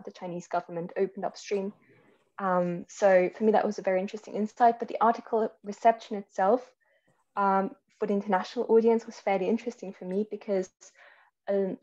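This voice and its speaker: A woman's voice: mid-range voice, dark tone. A woman from Austria, a guest journalist explaining her work in a panel over a video call. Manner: measured, explanatory, many ums. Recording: slightly muffled call audio.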